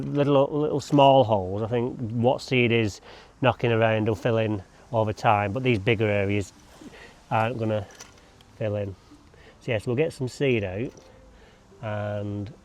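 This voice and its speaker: A man's voice: medium pitch, darkish tone, slight background noise. Man, lawn-care vlogger, chatty and rambling, cracks jokes mid-task, outdoors with faint ambience. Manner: rambling, joking asides to camera. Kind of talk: casual chat while working.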